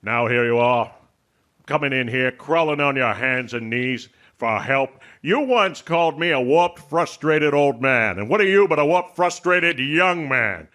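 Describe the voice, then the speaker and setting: Gloating old tycoon for radio drama, played by a man: scornful, forceful, swooping pitch. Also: lively intonation, unhurried. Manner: sneering, scornful, declamatory. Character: cruel, gloating old male rich banker. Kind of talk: radio theater acting.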